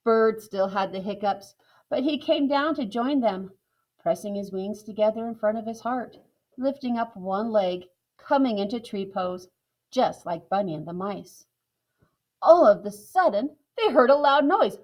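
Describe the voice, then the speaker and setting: A woman narrating a children's picture book in a calm, clear way. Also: mid-range voice, unhurried, rough recording. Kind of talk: children's storybook reading.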